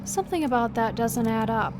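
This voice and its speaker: A woman's voice: fairly high pitch, fairly quick, background noise, natural voice. A woman playing a tough, sardonic police captain who questions relentlessly in an audio drama. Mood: probing. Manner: sarcastic, probing, unflinching. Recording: music behind the voice.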